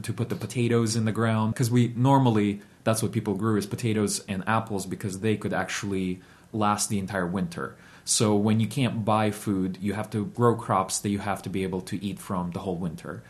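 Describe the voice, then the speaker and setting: Low-voiced man reflecting in an interview. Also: fairly quick. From Ukraine. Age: 30s. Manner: reflective, steady, with frequent ums and uhs. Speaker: guest. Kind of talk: interview storytelling.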